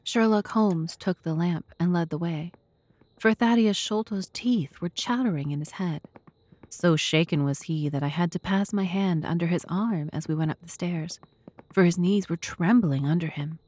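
A woman narrating a classic mystery audio drama in a measured, literary storytelling manner. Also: fairly low pitch, a little mellow, dry recording.

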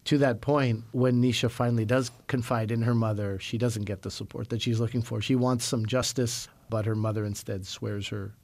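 A man hosting a radio book interview, asking steady, measured questions and backing them up with brief affirmations. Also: mid-range voice. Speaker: radio host.

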